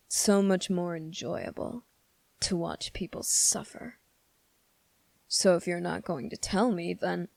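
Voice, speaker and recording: Slow, creaky, menacing demon acted out by a performer. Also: mid-range voice, no echo. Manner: slow, deliberate, condescending, pausing often. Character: sardonic, menacing demon weighing a summoner's revenge plea. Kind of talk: dramatic ASMR roleplay monologue.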